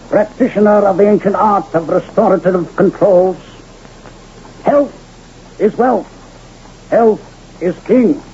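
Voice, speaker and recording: An actor in a 1970s radio drama playing a florid old-west medicine-show huckster posing as a doctor, orating a flowery, grandiloquent sales pitch. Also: male voice, dark tone. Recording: dull hissy 1970s radio recording.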